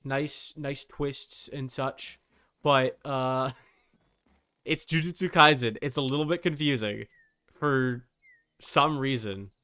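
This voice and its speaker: A man's voice: medium pitch, takes it slowly, sharp tone, clear, resonant tone. A man hosting a game livestream, talking casually and informally in a rambling way, thinking aloud as he goes.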